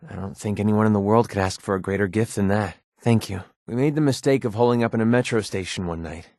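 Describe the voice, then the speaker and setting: A man's voice: fairly low pitch, fast, a little mellow, no room sound, clean studio sound, lightly acted. An actor playing a guarded, hot-tempered young male mercenary companion in a video game, speaking in a blunt, sardonic yet earnest conversational way. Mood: tender, relieved, then grieving.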